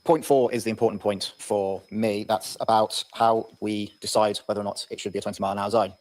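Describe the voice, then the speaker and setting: A man's voice: on the low side, fairly even intonation, fairly quick, darkish tone. A man, a local councillor, making a matter-of-fact, practical point in a council meeting. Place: council chamber.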